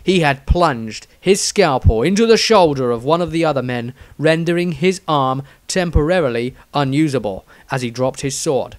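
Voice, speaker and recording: A man narrating a scripted fantasy story in a measured storytelling manner. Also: fairly high pitch, animated, no room sound. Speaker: audiobook narrator.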